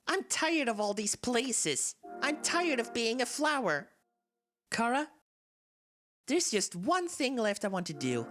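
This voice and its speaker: Soulless talking flower for a game fan dub, played by a woman: theatrical, weary yet menacing, animated delivery over soft music. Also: a little high. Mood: weary, intense. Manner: confiding, theatrical, swinging between wistful and menacing. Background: game soundtrack music.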